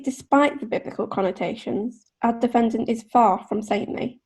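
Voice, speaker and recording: An actress playing a witty court clerk in a radio play, with a dry, punning formal delivery. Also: female voice, fairly high pitch, dark tone, breathy edge. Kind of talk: radio drama acting.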